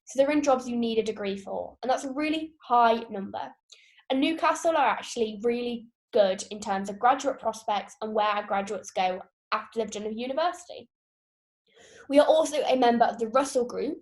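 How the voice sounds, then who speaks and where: A woman's voice: fairly high pitch, lively intonation, brisk, a little mellow. A young woman in her twenties, an outreach ambassador, giving an upbeat, enthusiastic talk to prospective students. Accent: non-Geordie British.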